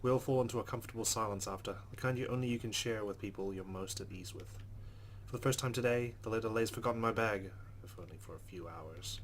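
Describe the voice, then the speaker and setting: A man's voice: fairly low pitch, fast talker, a little hiss or hum. A man hosting a let's play, reading game narration aloud and adding casual commentary.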